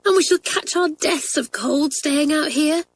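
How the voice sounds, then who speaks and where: A woman's voice: high voice, unhurried, pitched above the performer's own voice. Kathleen, a woman doing a timid tearful schoolgirl. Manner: whispering, tearful.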